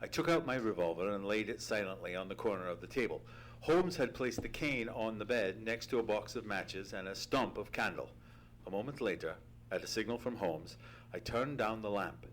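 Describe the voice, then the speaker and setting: A man playing an earnest gentleman sidekick who narrates a mystery with theatrical, deliberate storytelling in an old-time radio play. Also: on the low side, lively intonation, close to an everyday voice. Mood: measured suspense. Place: theatre stage. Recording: humming, noisy live theatre recording.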